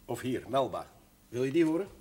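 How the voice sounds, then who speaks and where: An actor playing an enthusiastic, exclamatory gramophone lover in a television period drama, on an old soundtrack. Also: male voice, medium pitch, very animated, a little fast, lightly acted. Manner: eager, exclamatory. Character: enthusiastic male gramophone lover, marvelling and hospitable. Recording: loud music over old television soundtrack. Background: loud gramophone music.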